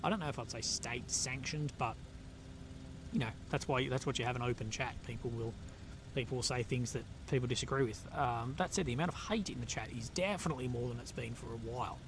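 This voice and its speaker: A man's voice: medium pitch, fast talker. A man hosting a casual live stream, reading out chat questions and answering bluntly, with frequent fillers and the odd swear word. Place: outdoors in a conflict zone. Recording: noisy outdoor live stream.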